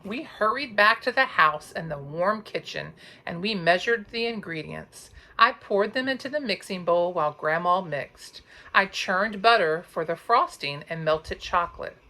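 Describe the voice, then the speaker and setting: A woman's voice: fairly low pitch, animated, bright, forceful tone, poor audio. A woman reading a children's story aloud expressively, like a teacher, with dramatic emphasis. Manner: dramatic, drawn-out emphasis on sound words and counting.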